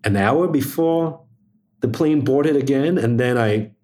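A man's voice: mid-range voice, measured pace, dark tone. A middle-aged man from Hong Kong, a guest on an interview podcast, telling a personal story in a casual, chatty way with many fillers. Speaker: interview guest, media executive.

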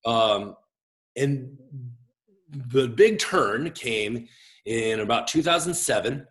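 A man in his forties with a big voice and an average male pitch talks reflectively about his own life. He is gregarious and expressive, often interrupting himself as he works toward his point. It sounds like a pastor being interviewed in relaxed conversation. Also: takes it slowly. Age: 40s. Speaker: guest, pastor. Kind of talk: reflective personal storytelling in conversation.

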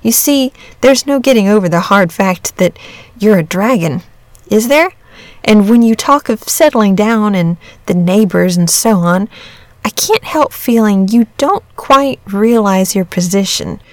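A woman's voice: medium pitch, expressive, measured pace, no room sound, natural voice. A woman voicing a practical, frank young boy in a storybook, earnest and blunt but polite. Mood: polite, candid. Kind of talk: storybook character reading.

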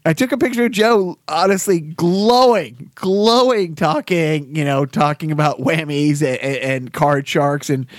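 A man's voice: a little high, a little slow, few pauses, no room sound, studio quality. Joking man co-hosting a podcast. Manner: joking, rambling with restarts. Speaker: podcast co-host. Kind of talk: casual comedic chat.

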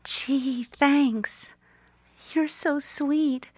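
A woman's voice: sits high, steady tone, drawn-out words, darkish tone, dry recording. An actress playing a statue come to life as a sweet, naive, romantic young woman in an audio drama. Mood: playful, innocent.

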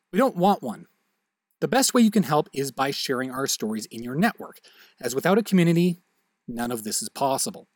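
Lively male podcast host, dry close sound. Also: mid-range voice, dry recording. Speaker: host and producer of audio drama. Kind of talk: scripted podcast outro, friendly direct address.

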